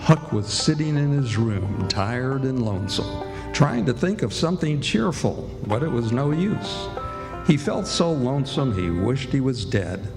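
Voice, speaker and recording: A man with a medium-pitched voice presents and narrates a radio drama. His delivery is formal and measured, in old-time broadcast style. The sound is a reverberant hall with clear music behind him. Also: sing-song. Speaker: radio play announcer and narrator. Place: live stage in a hall.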